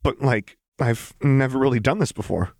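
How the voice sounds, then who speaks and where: A manic young troublemaker talks in a high, giddy rush, her voice leaping and cackling as a man puts her on. Her pitch jumps sharply from word to word, and the reading is close and dry. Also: very animated, dry recording. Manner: giddy, fast, cackling delivery.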